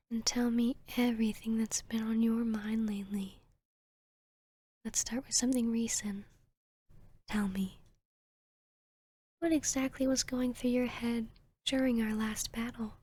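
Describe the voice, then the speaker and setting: A woman voicing an arrogant, seductive vampiress hypnotist in a whispered ASMR roleplay, with a breathy, smug, teasing delivery. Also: fairly high pitch, flat delivery, dark tone. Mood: haughty, amused. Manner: slow, smug, teasing, deliberate.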